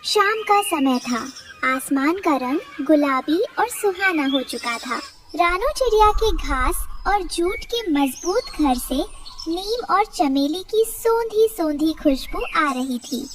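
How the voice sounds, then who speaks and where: A woman's voice: very high-pitched, expressive, slow pace, distant mic, slight background noise. A woman reading scripted storybook narration for a cartoon tale.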